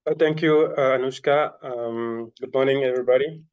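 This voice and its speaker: A man from Senegal with a French-speaking accent, a French native speaker giving a halting presentation with many fillers and self-corrections on a video call. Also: mid-range voice, fairly even intonation, clean tone. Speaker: webinar panelist. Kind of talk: hesitant presentation. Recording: dull lo-fi video call audio.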